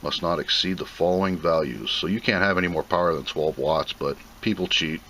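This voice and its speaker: A man's voice: low-pitched, brisk, pushed and bright. A man giving a casual, plain-spoken unboxing commentary, rambling and thinking aloud as he goes. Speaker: video host reviewing a product. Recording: clear background noise.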